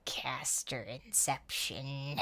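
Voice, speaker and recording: A woman's voice: rumbling low, fairly even intonation, drawn-out words, bright, forceful tone. A woman streaming a game with casual, playful, joking live commentary.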